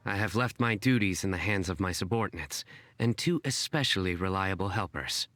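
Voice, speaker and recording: A man's voice: low-pitched, animated, brisk, fairly bright tone, no room sound. An actor playing a stern young male official in a game cutscene, dry, formal and clipped.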